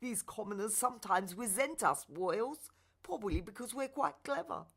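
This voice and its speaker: A woman's voice: medium pitch, animated, normal speed, husky. A woman voicing a smug, snobbish young prince in a children's radio play, pompous and aloof.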